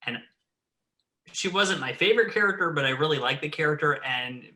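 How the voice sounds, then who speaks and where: A man with a bright, forceful voice in a casual retrospective chat, talking as a writer and director. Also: a little high, animated, fairly quick. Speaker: writer-director of an audio drama, panel guest.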